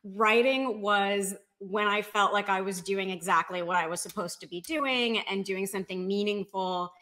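A woman's voice: medium pitch, normal speed, sharp tone. A woman speaking casually as a guest author in an interview over a video call. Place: indoor room with bookshelves, video call.

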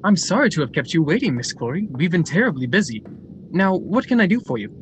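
An actor playing a brisk, eager factory general manager in a radio drama, formal and articulate. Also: male voice. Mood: courteous. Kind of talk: stage-style radio drama acting. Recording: noisy radio drama recording, steady rumble.

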